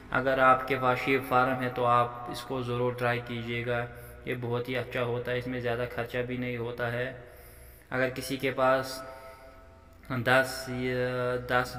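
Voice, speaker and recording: An echoing, reverberant recording carries a man with a Kashmiri accent. His pitch barely moves as he narrates informally like a farmer showing his work. He explains in a halting, instructive way and often repeats himself. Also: sharp tone, slight background noise. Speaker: sheep farmer and channel host. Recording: echoey, reverberant room sound.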